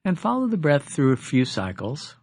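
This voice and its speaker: A man leading a guided meditation as a teacher, giving instructions with deliberate pauses between phrases. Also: on the high side, huge pitch swings, slightly rough, no echo.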